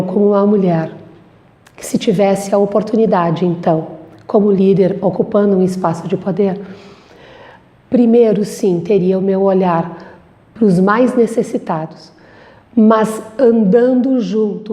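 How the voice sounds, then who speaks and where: A woman's voice: mid-range voice, dark tone. A woman, a lawyer speaking earnestly in an interview. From southern Brazil. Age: 50s. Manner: earnest, emphatic. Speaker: lawyer and businesswoman. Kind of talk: interview answer.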